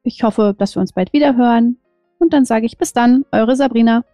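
A woman with a fairly high voice talks casually on a podcast. She sounds conversational, and soft music plays in the background. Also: fairly quick, no room sound, clean studio sound. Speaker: podcast panelist. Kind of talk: casual chat.

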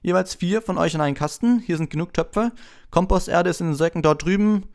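A man voicing a brisk, practical female herbology professor instructing her class in an audiobook. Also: sits high, gravelly, a little mellow, voice pushed up. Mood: brisk, instructive. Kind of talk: audiobook character voice.

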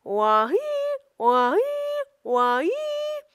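A woman voicing a playful poet who recites a silly storybook verse in a loud, theatrical way. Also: very high voice, huge pitch swings, extremely slow, no room sound, clean studio sound, pitched above the performer's own voice, full character voice. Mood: playful, exuberant.

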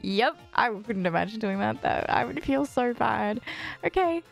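Young woman streamer, casual reaction chat, giggly, bouncy higher voice, music playing underneath. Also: lively intonation, moderate pace, gravelly. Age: young adult. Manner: chatty, giggly, lots of "like" and "um". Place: streaming room with purple lights. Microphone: studio mic on boom arm, wearing headphones.

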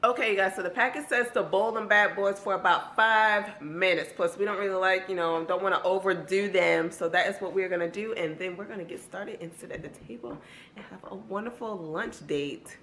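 A young woman with a slightly raspy voice, chatting excitedly to her viewers in a casual home vlog, filming from arm's length. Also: mid-range voice, brisk. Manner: chatty, loud bursts of excitement. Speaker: vlogger. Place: home kitchen and dining table. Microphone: handheld camera at arm's length, later table camera. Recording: echoey room with background music.

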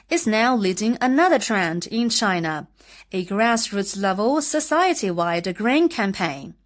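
A woman hosting a news podcast, reading scripted reports in a measured, formal way. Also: medium pitch.

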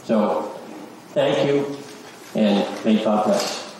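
Slow-talking man in an echoey church. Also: fairly low pitch, a little mellow. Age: middle-aged or older. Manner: halting, with repeated words. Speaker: church lay leader and search committee chair. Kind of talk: church announcement and short devotional to a congregation. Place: large church sanctuary, indoors. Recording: echoey church, distant microphone, background noise.

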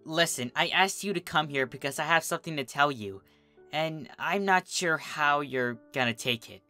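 A reader acts out a young female cartoon pegasus for a storybook. She is brash and casual but easily flustered, stammering in a bright, lively voice over music. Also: medium pitch, normal speed. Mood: flustered, earnest. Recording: music behind the voice.

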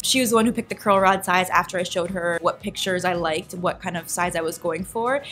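A woman's voice: mid-range voice, fairly bright tone. A young woman with an American accent talking casually to her viewers in a playful, chatty, conversational way as a vlogger. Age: young adult. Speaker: vlogger reviewing a hair salon visit. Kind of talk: casual vlog narration to viewers.

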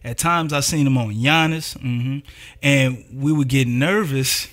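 A man's voice: mid-range voice, a little slow, fairly bright tone. A man co-hosting a sports podcast, chatting casually with slang and lots of "like" and "man.".

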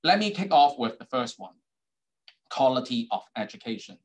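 A man's voice: mid-range voice, big pitch swings, normal speed. A middle-aged man with a Hong Kong accent, whose first languages are Cantonese and Putonghua, giving an online keynote talk over a video call, with many ums and uhs. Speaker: keynote speaker, university dean.

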